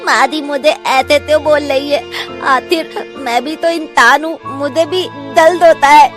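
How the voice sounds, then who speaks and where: A woman's voice: sits high, fairly even intonation, unhurried, music in the background, voice pushed up. A woman voicing a sweet young bride who lisps heavily and talks in childlike baby talk. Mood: hurt. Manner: innocent, eager to please. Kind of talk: cartoon voice acting.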